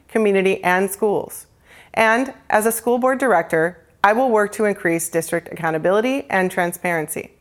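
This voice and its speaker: A woman delivering a prepared campaign statement as a school board candidate. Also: medium pitch.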